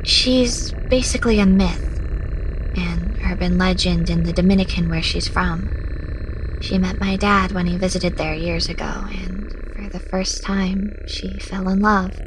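Woman acting slow, mysterious young woman. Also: lowish voice, steady tone, background noise, close to an everyday voice. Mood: gentle explaining. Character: mysterious, protective young woman, half monster, calmly coaxing. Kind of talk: dramatic horror audio story acting. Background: music.

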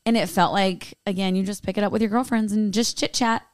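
A woman podcast co-host chatting casually and telling stories in an animated way, with quoted asides. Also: medium pitch, a little fast, clean tone, no room sound, clean studio sound. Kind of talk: casual chat recap.

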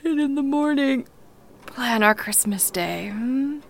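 Radio play role, female actor: tender, wonderstruck woman, high voice, unhurried, breathy halting phrasing. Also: expressive, slight background noise, exaggerated voice. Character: tender, wonderstruck woman alone with her dog on Christmas Eve.

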